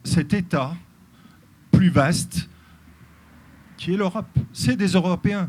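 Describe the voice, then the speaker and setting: A man's voice: a little high, a little mellow. A man from Switzerland speaking bluntly on a debate panel. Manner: blunt, outspoken.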